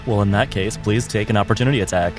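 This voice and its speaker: A man with a lowish voice runs a tabletop game, describing the action in measured, vivid narration. Music plays clearly underneath. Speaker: game master.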